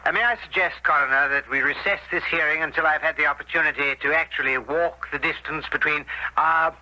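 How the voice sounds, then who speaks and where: An actor playing a methodical Scotland Yard inspector in a radio drama, musing deliberately with hesitant asides. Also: male voice, on the high side, fairly even intonation, sharp tone. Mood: musing, brisk. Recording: dull, heavily noisy old radio recording.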